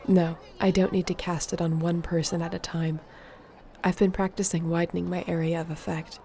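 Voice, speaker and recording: A woman playing an earnest, determined fantasy sorceress in an intimate audio roleplay, speaking in a measured, softly formal way. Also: on the low side, little pitch movement, creaky. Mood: earnest, resolute.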